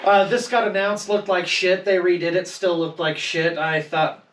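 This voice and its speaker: A man's voice: high-pitched, steady tone, moderate pace, fairly bright tone. Blunt man in an echoey, distant recording. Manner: blunt, casually profane. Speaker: podcast co-host. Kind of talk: casual panel chat. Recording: roomy echo, distant mic, faint noise.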